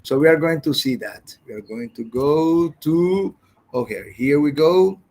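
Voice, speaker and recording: A man who speaks Spanish as his first language, teaching an English grammar class online, halting and repeating his words as he explains. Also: fairly high pitch, lively intonation, mellow.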